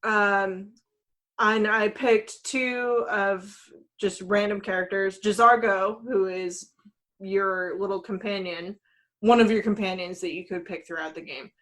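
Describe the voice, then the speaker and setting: A woman talks in an average-pitched, conversational voice as a podcast co-host. She is informal and plainly enthusiastic, chatting as a fan about games and films. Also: steady tone, a little slow, fairly bright tone.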